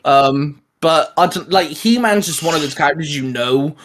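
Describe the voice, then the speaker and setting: A man's voice: fairly high pitch, moderate pace, fairly bright tone. A man co-hosting a casual fan discussion, talking in a rambling, informal, jokey way. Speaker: co-host of a cartoon fan channel. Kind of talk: casual chat, fan commentary.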